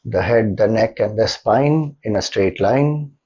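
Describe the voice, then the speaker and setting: A man with an Indian accent leading a guided meditation as a spiritual teacher, speaking calmly and gently with deliberate pauses. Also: fairly low pitch, breathy edge, lo-fi sound.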